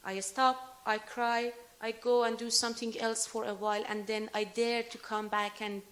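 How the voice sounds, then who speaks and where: A woman answering questions after a talk on her paintings, speaking in in an earnest, halting and emotional way. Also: a little high, flat delivery, a bit bright. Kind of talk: lecture Q&A answer.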